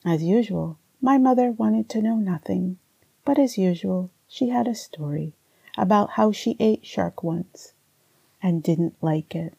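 Woman poet reading aloud, mellow and gravelly, measured delivery, dry close sound. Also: medium pitch, no room sound, dull audio. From Barbados. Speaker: poet and writing teacher. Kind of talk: poetry reading with spoken introduction.

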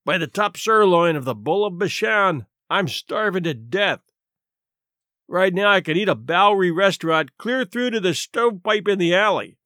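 A man's voice: high voice, lively intonation, normal speed, bright, forceful tone, dry recording, clean studio sound. Man acting a growling, blustering hungry captain. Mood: ravenous, indignant. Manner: growling, groaning, grumbling, coarse streetwise speech. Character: huge blustering down-and-out ex-police captain, grumbling and hungry.

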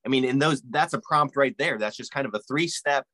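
A man's voice: medium pitch, brisk, pushed and bright, dry recording. A man co-hosting a casual podcast chat, leaning on fillers and tagging "right?" onto his points. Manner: fillers, keeps asking "right?".

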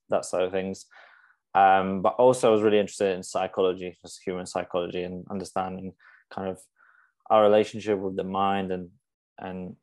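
A young man from Poland, Polish by first language, speaks with a very low, level voice that hardly rises or falls. He explains things slowly and carefully, stopping often to restart his sentences. He is a guest on a casual podcast. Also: a little mellow. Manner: hesitant, many fillers and restarts. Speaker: sports psychologist guest.

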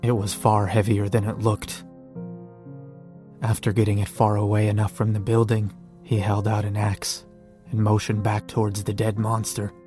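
Weary, sardonic male survivor, actor-played, low and flat, narrating a horror audio diary over soft music. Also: moderate pace, lightly acted. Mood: grim. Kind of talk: first-person dramatic diary narration.

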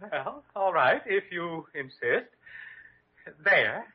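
An actor playing a timid, stuffy doctor in 1940s radio comedy, fussy and formal, stammering. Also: male voice, high voice, very animated, slow, slightly rough, exaggerated voice. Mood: nervous. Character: timid, prissy, stuffy doctor, easily panicked. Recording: muffled old radio recording.

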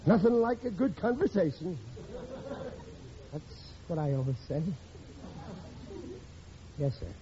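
Through a dull, noisy old radio recording comes an indignant working-class husband and father. His voice sits high, and he blusters along, mangling phrases in classic sitcom style. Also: big pitch swings, slow, pauses often, full character voice. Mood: awkward, reassuring. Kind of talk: 1940s radio sitcom acting.

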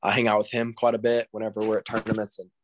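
A man's voice: on the low side, monotone, rapid-fire, slight background noise. A teenage boy from Texas with a Southern accent, answering interview questions in a laid-back way and saying "I mean" constantly. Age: teens. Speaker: guest, high school baseball recruit.

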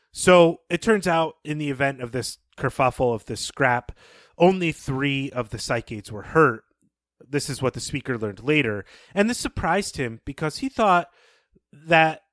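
A man co-hosting a podcast, talking casually and explaining things in a measured, thoughtful way. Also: medium pitch, fairly bright tone, dry recording, studio quality. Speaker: podcast co-host. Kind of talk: casual podcast talk and story recap.

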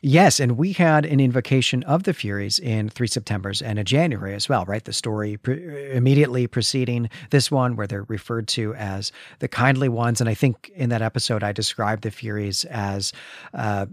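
A man's voice: mid-range voice, normal speed, no room sound, clean studio sound. A man co-hosting a podcast, discussing a story in an analytical, conversational way and often checking in with tag questions. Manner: analytical, adds frequent tag questions like "right?". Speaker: podcast co-host. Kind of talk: conversational literary discussion of a comic.

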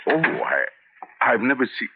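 An actor playing a meek, kindly old newspaper columnist, hesitant and rambling, in 1940s radio drama style. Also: male voice, mid-range voice, wildly swooping pitch, unhurried, slightly rough, exaggerated voice. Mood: eager, flustered. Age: older. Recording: narrow, tinny old radio recording.

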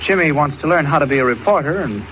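An actor playing an earnest, polite newspaper reporter in an old radio drama, a little hesitant. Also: male voice, on the high side, lively intonation, fast talker, natural voice. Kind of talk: 1940s radio acting. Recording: dull, faintly noisy old radio recording.

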